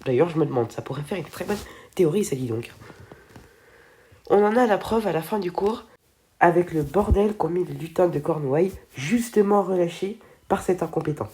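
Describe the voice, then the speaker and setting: A woman's voice: lowish voice, expressive, normal speed, dark tone. A teenage girl with a stuffy head cold, chatting casually through a film video and tripping over her words. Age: teens.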